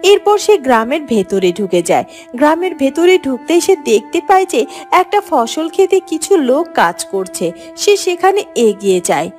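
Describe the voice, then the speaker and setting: Female narrator for children's folktale cartoon: high-pitched scripted storytelling over light music. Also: very animated, measured pace, a little mellow.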